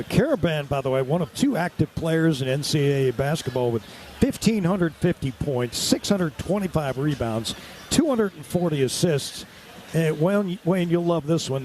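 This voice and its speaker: A man's voice: fairly high pitch, a little mellow, a little hiss or hum. A man doing live radio-style basketball play-by-play in clipped, vivid running descriptions.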